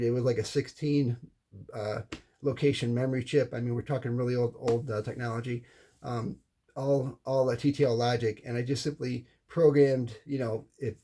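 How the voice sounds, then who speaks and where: A middle-aged or older American man giving an informal, unscripted explanation as a hobbyist presenter, halting and often repeating words or restarting phrases. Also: mid-range voice, dark tone, a little hiss or hum.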